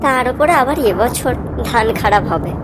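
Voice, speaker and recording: Woman as high-voiced fretful farming villager. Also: lively intonation, moderate pace, over loud music, lightly acted. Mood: worried. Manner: plaintive, fretful. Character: worried, debt-ridden farming villager, respectful of landlord. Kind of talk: cartoon dialogue. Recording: loud background noise.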